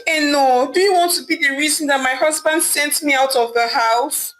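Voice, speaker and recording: A woman's voice: sits high, moderate pace, a bit bright, a little hiss or hum, pitched above the performer's own voice. A woman voicing an angry, betrayed young wife who screams, wails and begs. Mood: pleading. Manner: screaming, wailing, begging.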